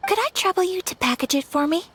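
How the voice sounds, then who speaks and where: A woman voicing a gentle, refined young noblewoman who speaks formally and politely in a game cutscene. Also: extremely high pitch, expressive, fast, a little hiss or hum. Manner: formal, polite and soft-spoken. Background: game music.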